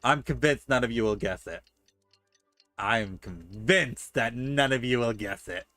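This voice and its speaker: A man's voice: medium pitch, unhurried, bright, forceful tone, no echo. A man chatting casually as he streams a video game and answers his chat. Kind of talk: casual game livestream chat, reading dialogue aloud.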